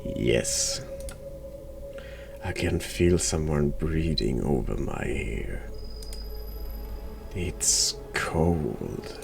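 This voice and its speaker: A man reading a horror game aloud in ASMR style, hushed and often slipping into a whisper. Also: a bass voice, animated, drawn-out words, vocal fry, pauses often, over music. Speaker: horror game streamer, narrator.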